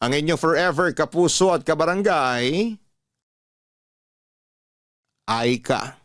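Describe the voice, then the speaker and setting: A man hosting a radio love-story program, reading a listener's letter aloud in a slow, measured, heartfelt way. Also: fairly high pitch, lively intonation, a bit bright, dry recording, studio quality. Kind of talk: emotional radio storytelling narration.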